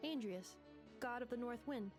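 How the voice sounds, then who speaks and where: A woman's voice: fairly high pitch, normal speed, music in the background, close to an everyday voice. A woman playing a polite female delivery robot. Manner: flat, systematic announcements. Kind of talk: game voice acting.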